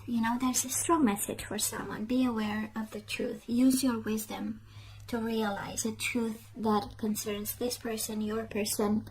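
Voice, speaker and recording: High, even-voiced woman reading tarot. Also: slight background noise, poor audio. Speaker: tarot reader and host.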